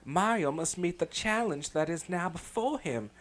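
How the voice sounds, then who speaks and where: A man's voice: high-pitched, expressive, moderate pace, a bit bright, pitched above the performer's own voice. A man voicing a genteel Southern belle lady who reads out a game's story text in a drawling, sing-song way.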